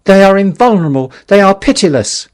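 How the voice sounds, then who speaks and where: A man's voice: high voice, animated, fairly quick, smooth, pitched above the performer's own voice. A man voicing a distraught, half-mad curate who wails and preaches doom in an audiobook story. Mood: frightened. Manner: frantic, wailing, biblical preaching.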